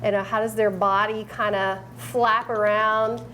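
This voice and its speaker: A woman's voice: medium pitch, level tone, measured pace, bright, forceful tone, a little hiss or hum. A woman improv teacher in an interview, explaining puppet games in an upbeat, explanatory way.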